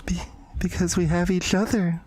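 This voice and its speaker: A breathy, lovesick teenage girl from a visual novel, played by a man, speaks in a close, dry recording. The delivery is shy and stammering, yet intimate and unsettlingly obsessive. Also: sits high, level tone, normal speed, darkish tone, no echo, pitched above the performer's own voice. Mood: relieved, clingy. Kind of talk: visual novel character voicing.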